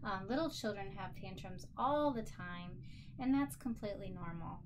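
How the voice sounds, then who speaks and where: A woman's voice: mid-range voice, lively intonation, normal speed, noisy background. A woman teaching children in an encouraging, simply worded way, like a teacher leading a lesson and read-aloud. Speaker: special education teacher.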